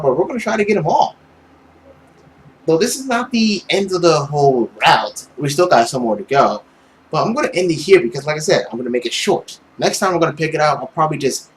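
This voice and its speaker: A man hosting a gaming video, moving between dramatic story reading and casual commentary in an expressive, theatrical way. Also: a little high, a little hiss or hum.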